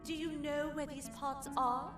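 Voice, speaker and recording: A woman's voice: high-pitched, flat delivery, measured pace. A woman playing a regal, caring giant kangaroo queen in a video game, formal and commanding. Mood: solemn, commanding. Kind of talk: video game character acting. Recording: echoey, distant, loud music behind.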